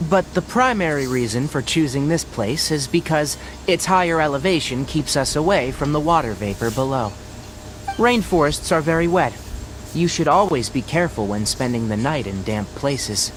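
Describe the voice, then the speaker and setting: A man voicing a bright young forest ranger in an anime game, articulate and instructive, with a patient, wry manner. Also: a little high, animated, normal speed, natural voice. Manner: articulate, instructive, gently teasing. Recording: noisy game livestream capture.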